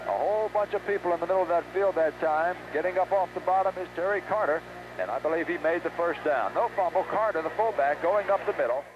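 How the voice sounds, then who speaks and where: High-pitched man calling football on noisy old radio. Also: fast talker. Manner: excitable, urgent calls on big plays. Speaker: play-by-play football announcer. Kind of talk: live radio play-by-play commentary. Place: outdoor stadium, game broadcast. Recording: noisy muffled 1970s radio broadcast.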